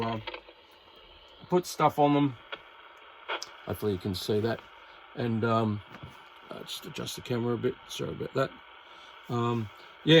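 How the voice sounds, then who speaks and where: A man's voice: medium pitch, a little hiss or hum, low-quality recording. Easygoing Australian man, around sixty. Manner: rambling, lots of uhs and ums, easygoing. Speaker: radio hobbyist showing a CB set. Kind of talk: casual show-and-tell to camera.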